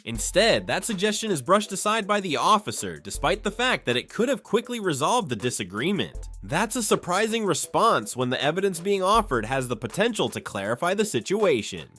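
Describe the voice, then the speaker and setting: A man narrating a scripted breakdown in a measured, explanatory way. His voice is fairly high and moves a lot in pitch. Soft music plays underneath him. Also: sing-song.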